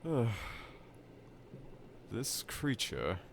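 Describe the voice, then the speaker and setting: A man's voice: medium pitch, sing-song, very slow, full character voice. A man playing a dejected, pompous evil scientist villain from a cartoonish game, delivering a theatrical, self-pitying roleplay monologue to the listener. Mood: bitter. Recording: clear background laboratory ambience noise.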